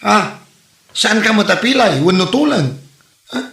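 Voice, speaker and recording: A man's voice: high-pitched, lively intonation, a little slow, gravelly, sharp tone, heavily acted. A man playing a hapless, complaining tricycle driver in a comedy drama, stammering and exclaiming in exasperation. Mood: exasperated complaining. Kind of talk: comedy radio drama acting. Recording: roomy, echoey sound.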